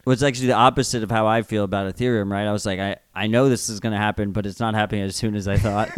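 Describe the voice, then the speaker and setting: A man's voice: lowish voice, expressive, fast, dry recording, studio quality. A man with a New York accent, co-hosting a casual podcast, speaking bluntly and swearing now and then. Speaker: podcast co-host.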